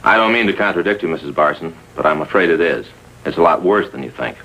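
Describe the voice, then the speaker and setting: Concerned American police detective. Forceful low voice, polite questioning, vintage TV crime drama. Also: male voice, fast, vocal fry, exaggerated voice. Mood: polite, probing. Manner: polite, probing. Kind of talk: 1950s police drama acting. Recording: noisy vintage TV soundtrack.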